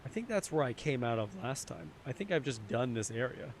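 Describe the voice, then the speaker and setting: A young man streaming a game, chatting casually with his viewers, his voice a little hoarse. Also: medium pitch, big pitch swings, brisk, slight background noise. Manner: chatty, answers chat, repeats phrases. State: slightly hoarse. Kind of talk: casual live-stream chat while gaming.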